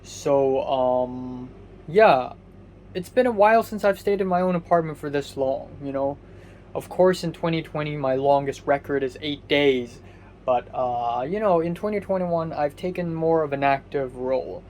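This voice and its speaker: Raspy young man. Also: fairly high pitch, normal speed, a little mellow, slight background noise. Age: young adult. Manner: hesitant and rambling, many ums and restarts. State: muffled, raspy voice. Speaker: vlogger. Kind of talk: casual diary vlog.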